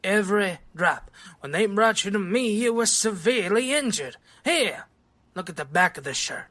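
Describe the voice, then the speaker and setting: High, bright-voiced earnest doctor. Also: male voice, lively intonation. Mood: grave, earnest. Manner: formal, measured, insistent. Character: earnest, precise scientist doctor calmly explaining the impossible. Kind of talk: audio drama acting.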